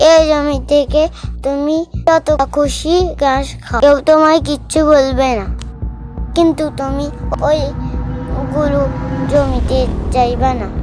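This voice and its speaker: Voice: high-pitched, darkish tone. A performer voicing a mischievous, stubborn village boy in a cartoon, chatty and self-assured as he explains things simply. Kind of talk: cartoon voice acting. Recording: music behind the voice.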